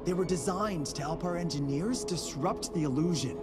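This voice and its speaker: A man's voice: a little high, moderate pace, over loud music, lightly acted. A man playing a nervous young genius engineer in a video game, rambling in flustered technical jargon. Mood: subdued, explaining.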